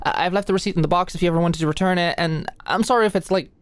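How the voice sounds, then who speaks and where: A woman's voice: lowish voice, very fast, close to an everyday voice. A woman playing an anxious, flustered young medical student in an intimate ASMR roleplay, with a hesitant, stammering, playfully defensive way of talking. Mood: nervous, apologetic. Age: young adult. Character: anxious, flustered, endearing short female medical student study buddy.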